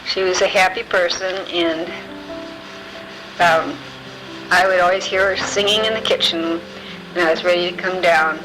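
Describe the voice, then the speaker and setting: An elderly woman from Illinois in her nineties, gently recalling her life in an unhurried way, often pausing with um and uh. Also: on the low side, steady tone, music in the background, rough recording. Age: older. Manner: gentle, unhurried storytelling with many ums and uhs. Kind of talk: reminiscing, answering memory prompts.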